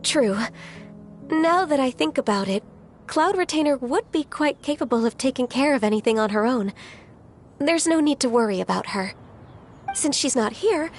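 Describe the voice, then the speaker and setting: A young immortal woman from a fantasy video game, earnest and dutiful. The voice sits high and gentle, with polite, measured, slightly hesitant phrasing. Faint music runs underneath. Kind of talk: video game character acting.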